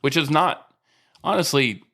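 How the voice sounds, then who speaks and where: A man's voice: on the high side, lively intonation, a little slow, pushed and bright. A man co-hosting a podcast who chats casually about baseball, laughs often and teases his partner while explaining stats. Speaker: podcast co-host. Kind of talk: casual sports chat.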